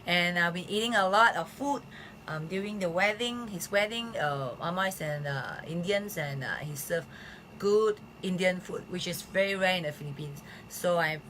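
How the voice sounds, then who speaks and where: A woman with a hoarse voice hosting a casual live health stream, chatting with lots of fillers. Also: lowish voice, normal speed, a bit bright, a little hiss or hum. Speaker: host of a live health show. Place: Philippines.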